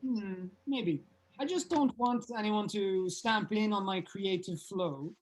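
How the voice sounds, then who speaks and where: A man's voice: high voice, slow pace. A man playing a self-important band frontman with a pompous way of talking.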